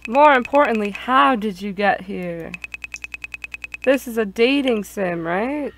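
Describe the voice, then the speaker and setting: A woman's voice: fairly high pitch, animated, slow pace, a little hiss or hum. A woman playing a visual novel with friends, reading dialogue aloud and chatting casually. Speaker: player in a group gaming stream.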